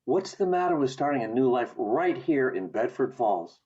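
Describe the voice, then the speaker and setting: Muffled call audio carries a kindly, plain-spoken small-town man from a radio play, acted by a man. His voice is husky and folksy, with very animated pitch. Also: moderate pace. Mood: warm concern. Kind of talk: community theatre radio play acting. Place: home, over video call.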